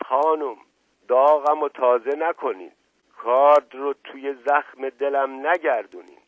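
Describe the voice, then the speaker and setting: Casting: male reader as sly storybook nobleman under questioning, halting, sighing, melodramatic, husky, narrow radio-like sound. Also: medium pitch, lively intonation. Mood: lovelorn, theatrical. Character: wily aristocratic gentleman suspect, mock-bashful and theatrically lovelorn. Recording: roomy lo-fi radio-like narrow band.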